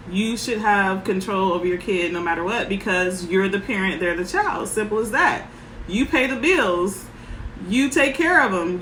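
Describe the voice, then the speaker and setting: A woman parenting coach with a soft voice, giving frank advice in short, emphatic sentences. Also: mid-range voice, echoey room, slight background noise, rough recording. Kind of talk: casual advice talk.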